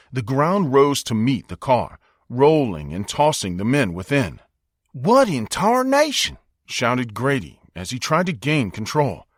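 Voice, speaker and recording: An American man narrating a dramatic audiobook in a measured, suspenseful storytelling style. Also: medium pitch, a bit bright, dry recording, clean studio sound.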